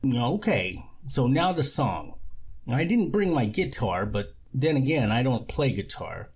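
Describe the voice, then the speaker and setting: Man acting a storybook con artist, sly, husky, over-eager, ingratiating handyman patter. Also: mid-range voice, very animated, a little slow, darkish tone, pitched above the performer's own voice, exaggerated voice. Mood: breezy hustling.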